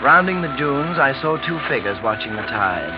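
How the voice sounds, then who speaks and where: A man playing a well-bred, easygoing young English gentleman, in old radio drama dialogue and narration. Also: medium pitch, big pitch swings, normal speed, music in the background, lightly acted. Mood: reflective narration. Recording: dull, noisy old radio recording.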